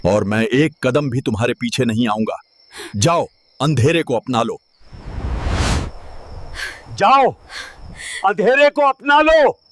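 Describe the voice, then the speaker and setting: A man's voice: medium pitch, sing-song, takes it slowly, long pauses, slight background noise. A man playing a grim, ominous figure who gives solemn, emphatic warnings in a dramatised moral story. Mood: urgent, forceful.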